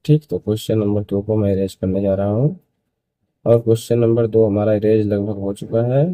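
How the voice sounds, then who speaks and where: Dark, muffled-toned young male maths teacher. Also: lowish voice, steady tone, moderate pace, no room sound. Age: early 20s. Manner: repeats phrases, asks and answers own questions. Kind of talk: step-by-step school maths lesson.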